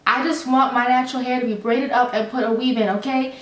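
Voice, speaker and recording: A young adult woman telling a storytime vlog in a chatty, animated, rambling way with many fillers. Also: a little high, a little fast. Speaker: vlogger. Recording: roomy, slightly distant mic.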